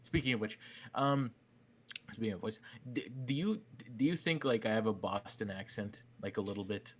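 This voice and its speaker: Young dude, kinda low voice, rambles and swears a bunch, faint noise behind. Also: male voice, normal speed. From Manitoba, Canada. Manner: rambling, swears a lot, restarts sentences. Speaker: small YouTuber and podcast co-host. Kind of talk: casual chat.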